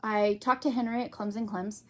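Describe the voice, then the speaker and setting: A woman giving an unscripted, casual hobby vlog, thinking aloud in short, halting phrases. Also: medium pitch, steady tone, fairly bright tone. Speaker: craft vlogger.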